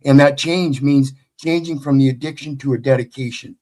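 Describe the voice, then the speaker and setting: A man's voice: mid-range voice, fairly even intonation, normal speed, darkish tone. A man on a discussion panel, talking bluntly and emphatically in a preachy way. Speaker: panel guest. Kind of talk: casual panel talk.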